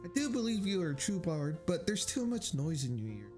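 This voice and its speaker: A man's voice: sits high, sing-song, a little fast, a little mellow. A man voicing a playful, poetic wandering bard, reading game dialogue aloud with whimsical phrasing and small stumbles. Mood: encouraging. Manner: whimsical, flowery, stumbles over read lines. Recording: game music behind.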